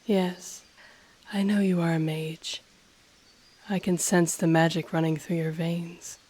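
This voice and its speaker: A woman voicing an ancient, powerful dragon in a fantasy audio roleplay, haughty and intrigued, speaking formally in a breathy half-whisper. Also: fairly low pitch, flat delivery, darkish tone. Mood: haughty, composed. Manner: slow, formal, deliberate, haughty. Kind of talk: scripted audio roleplay, intimate monologue to listener.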